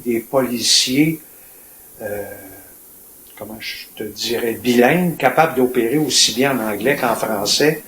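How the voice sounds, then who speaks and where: An older man in his seventies from Quebec, with a Quebec French accent, telling his life story in a reflective, colloquial, measured way as a retired police officer. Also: medium pitch, fairly bright tone. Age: 70s. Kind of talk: personal storytelling in an interview. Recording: echoey room with faint noise.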